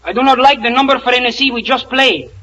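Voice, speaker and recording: Very high-voiced Spanish-accented guitarist. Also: male voice, a little fast, sharp tone, lo-fi sound. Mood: complaining. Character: disgruntled Latin band guitarist, broken English. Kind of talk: 1940s radio comedy acting. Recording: distant, hissy 1940 radio recording.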